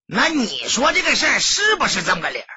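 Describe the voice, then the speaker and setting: Man playing a raspy, sharp, bullying gang boss. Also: very high-pitched, huge pitch swings, quick pace, no room sound, dull audio, pitched above the performer's own voice, exaggerated voice. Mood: coolly threatening. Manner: forceful, bullying. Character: menacing, extorting male gang boss.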